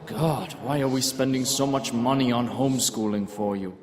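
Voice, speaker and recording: A man's voice: mid-range voice, normal speed, a crowd in the background, lightly acted. Man acting a harsh father in long reverb. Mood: exasperated. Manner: scolding, impatient. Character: harsh, disapproving, strict father. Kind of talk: dramatic voice acting in an audio drama. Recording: long reverb, ambient noise.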